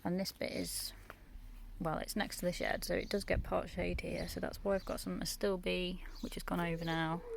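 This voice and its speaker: A woman from the UK, croaky from just waking up, giving a quiet, half-whispered tour of her back garden in the early morning. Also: lowish voice. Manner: hushed, half-whispered talk. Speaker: gardening vlogger. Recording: noisy outdoor recording with birdsong.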